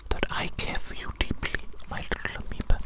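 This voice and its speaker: A performer whispering as a doting, eccentric evil doctor in an ASMR roleplay, breathy and theatrical, soothingly repeating phrases. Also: fairly quick, lightly acted. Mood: devoted, grandiose. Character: doting, eccentric evil doctor fussing over a created monster.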